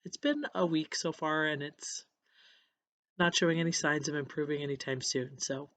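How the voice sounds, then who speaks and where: A painter with a cold, hoarse and scratchy, casually narrating a voiceover of their painting process with halting restarts. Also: mid-range voice, fairly bright tone. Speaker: encaustic painter. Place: voiceover recorded apart from the footage.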